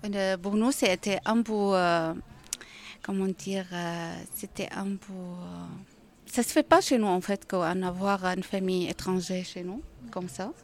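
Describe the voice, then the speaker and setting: A middle-aged woman from Syria, a native Arabic speaker with a Syrian accent, giving a personal account in an interview and haltingly searching for words. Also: medium pitch. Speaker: interviewee, refugee who cooks.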